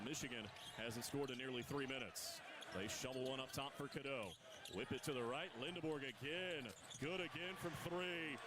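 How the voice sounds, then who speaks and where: A man giving live radio play-by-play of a college basketball game, brisk and rising sharply on big plays. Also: a little high. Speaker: play-by-play announcer. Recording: live broadcast with loud arena crowd noise. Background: arena crowd and bouncing ball.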